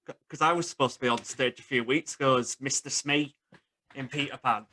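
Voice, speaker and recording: A man's voice: medium pitch, brisk, pushed and bright, a little hiss or hum. A man, from Halifax, hosting a casual podcast interview. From Halifax, England.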